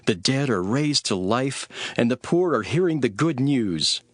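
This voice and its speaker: A man playing a grave, authoritative teacher instructing his followers in a dramatised scripture reading, with measured, solemn delivery. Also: mid-range voice, lively intonation, dry recording. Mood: proclaiming.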